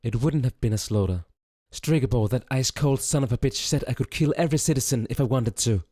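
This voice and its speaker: A man's voice: medium pitch, fairly quick, warm tone, breathy edge, dry recording, studio quality, pitched above the performer's own voice, lightly acted. A man voicing a defiant, bitter female warrior in a dramatic fantasy story, with music behind. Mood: bitter defiance. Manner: accusing, scornful. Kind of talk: dramatic storybook character acting.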